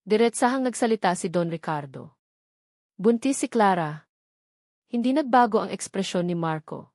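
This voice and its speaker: A woman's voice: medium pitch, expressive, moderate pace, darkish tone, no echo. Woman narrating a dramatic story, dry sound.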